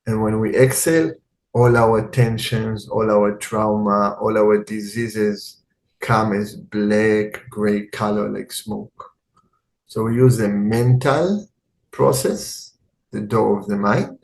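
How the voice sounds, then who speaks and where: Very slow-talking man guiding meditation. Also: on the low side, creaky. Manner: calm, unhurried, with long pauses between short phrases. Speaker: meditation teacher. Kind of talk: guided meditation instruction.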